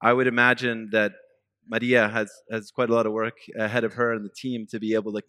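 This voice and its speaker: A man talks conversationally on a panel. His voice is fairly low with very little melodic movement, and it is captured dry. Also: flat delivery, fast, pushed and bright, clear, resonant tone, no echo.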